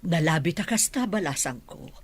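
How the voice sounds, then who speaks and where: A woman acting a worried, caring mother with a husky voice in an Ilocano radio drama. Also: on the low side, lively intonation, normal speed, no room sound.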